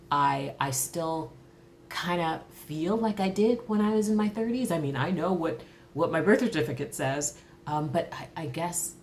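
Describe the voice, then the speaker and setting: A woman in her early fifties reflecting candidly on her own life in a thoughtful, halting way, as in a personal interview. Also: deep voice, slight background noise. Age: early 50s. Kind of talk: reflective personal talk to camera.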